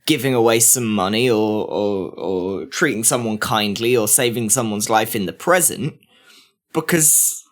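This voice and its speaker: A man co-hosting a discussion podcast, arguing emphatically in halting bursts. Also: lowish voice. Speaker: podcast co-host.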